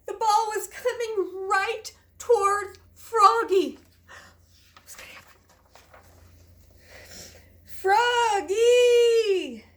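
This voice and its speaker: A woman's voice: very high-pitched, fairly even intonation, slow pace, a bit bright. A woman teacher reading a children's storybook aloud expressively, with emphatic sound words and simple asides to young listeners.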